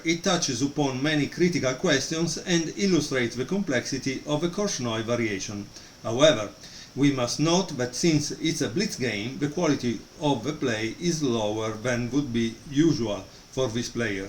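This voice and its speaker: Guy rambling through a book review, lots of uhs, middle-pitched voice, faint noise in the room. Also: reverberant, low-quality recording. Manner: hesitant, many fillers. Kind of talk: casual video review. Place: room at home, storm outside.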